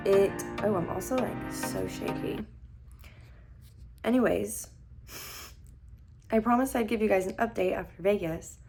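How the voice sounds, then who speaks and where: A young woman with a slightly hoarse voice after being sick, chatting casually and rambling with lots of fillers in a beauty vlog filmed on her phone at home. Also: mid-range voice, a little slow, long pauses, darkish tone, soft background music. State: hoarse, recovering from illness. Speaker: beauty vlogger. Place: at home, sitting on the floor. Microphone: phone camera.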